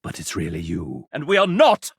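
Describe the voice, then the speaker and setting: A man's voice: low-pitched, wildly swooping pitch, normal speed, gravelly, breathy edge, no room sound, clean studio sound, exaggerated voice. An actor playing a sassy, theatrical male game-show host mascot in a video game, with pompous, showy, flowery delivery. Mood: sassy, mocking. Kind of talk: video game character voice lines, game-show hosting.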